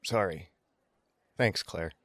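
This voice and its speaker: A man's voice: low-pitched, slow, fairly bright tone, heavily acted. A man playing an easygoing, polite survivor of a zombie apocalypse in an audio drama, narrating and speaking as the lead. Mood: friendly, casual.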